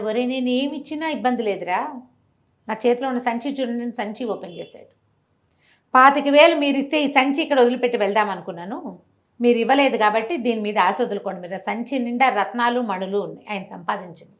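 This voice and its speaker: Fast-talking woman storyteller. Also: a little high. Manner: animated, didactic, acts out dialogue with rhetorical questions. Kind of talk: conversational moral storytelling with commentary.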